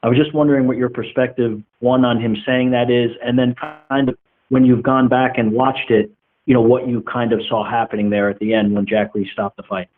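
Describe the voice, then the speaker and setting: A man's voice: mid-range voice, level tone, a little fast. Male reporter on a thin phone line. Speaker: reporter asking questions. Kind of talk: conversational press questioning. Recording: narrow muffled telephone conference line.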